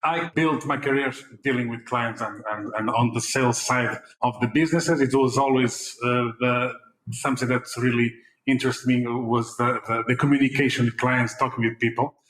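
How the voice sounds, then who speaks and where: A man from Portugal, a fintech CEO answering interview questions in English with a Portuguese accent, pausing often on fillers and repeated words. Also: mid-range voice, steady tone, fairly bright tone, echoey room, a little hiss or hum, rough recording.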